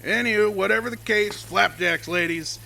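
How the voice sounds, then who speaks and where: An actor playing a brash, cheerful logging boss who teases and talks folksy in a radio drama. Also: male voice, high-pitched, moderate pace, vocal fry, a little hiss or hum, full character voice, rough recording. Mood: teasing. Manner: loud, folksy, teasing.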